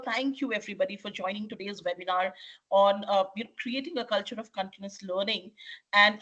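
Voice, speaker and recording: A woman with an Indian English accent and a husky voice from being unwell, opening a webinar as a trainer in an upbeat, polite way. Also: medium pitch, fairly bright tone, lo-fi sound. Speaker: leadership coach and trainer. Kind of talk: webinar presentation. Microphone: computer mic on video call.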